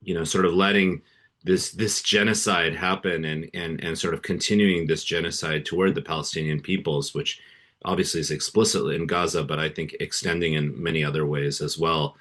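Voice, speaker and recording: A man's voice: a bass voice, steady tone, brisk, a bit bright. A middle-aged man hosting a podcast interview, speaking earnestly and hesitantly with many fillers and restarts. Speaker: podcast host.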